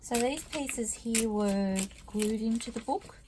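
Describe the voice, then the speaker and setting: A woman losing her voice, talking quietly and thinking aloud as she works on a craft project in her studio. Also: fairly high pitch, slow, noisy background. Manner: quiet, murmured asides to herself while working. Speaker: craft vlogger. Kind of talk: soft-spoken craft-along commentary, thinking aloud.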